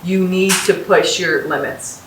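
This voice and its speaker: A woman's voice: lowish voice, unhurried. A woman hosting a casual podcast, giving upbeat, encouraging advice to students. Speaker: host and company founder. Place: house in North Las Vegas, room with echo. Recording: roomy echo, distant mic, faint hiss.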